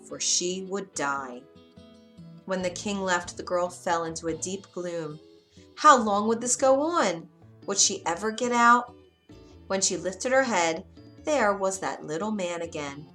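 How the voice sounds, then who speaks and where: A woman's voice: on the low side, pushed and bright. A woman narrating a scripted bedtime fairy tale for children, expressively and with dramatic emphasis. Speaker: children's storyteller. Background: music.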